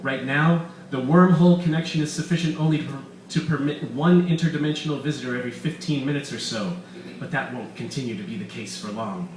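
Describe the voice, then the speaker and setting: A man's voice: mid-range voice, fast, natural voice. Man acting a gloating, pompous mad-scientist villain, roomy echo. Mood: smug boasting. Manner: pompous, theatrical, exclamatory. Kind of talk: live short-story reading. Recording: roomy echo, distant mic, faint room noise.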